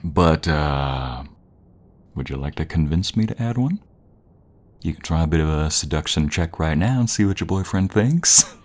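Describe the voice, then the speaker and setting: Very deep-voiced man, playful boyfriend roleplay. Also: expressive, normal speed, a little mellow, slight background noise.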